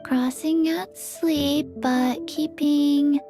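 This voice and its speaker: Very slow-talking little girl. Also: sits high, fairly even intonation, over music, full character voice. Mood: calm, thoughtful. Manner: halting, deliberate phrasing. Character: calm, methodical, earnest little girl. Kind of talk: animated game dialogue.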